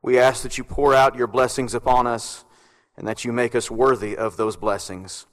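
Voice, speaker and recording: A man leading a public opening prayer as a senator, solemn and measured in short phrases. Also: mid-range voice, level tone, fairly bright tone. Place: large legislative chamber. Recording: echoey hall with long reverb.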